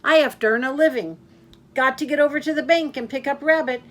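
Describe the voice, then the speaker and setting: A woman voicing a chatty male yak taxi driver who rambles and complains without pause. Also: natural voice. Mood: chattering. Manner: rambling, complaining, fast and high.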